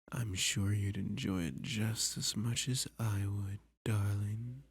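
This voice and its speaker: Man voicing a dominant flirty villain, very breathy and raspy, unhurried, teasing, possessive, whispery ASMR roleplay. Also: lowish voice, no echo, full character voice. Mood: menacing, seductive. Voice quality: breathy, husky, often dropping to a whisper. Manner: slow, teasing, seductive, with pet names. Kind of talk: ASMR roleplay addressed to the listener.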